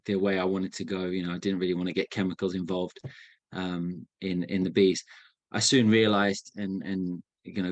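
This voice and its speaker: A young man from England giving an informal talk over a video call, relaxed, with many fillers and restarts. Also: sits low, dry recording. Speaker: guest speaker. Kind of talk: informal online presentation.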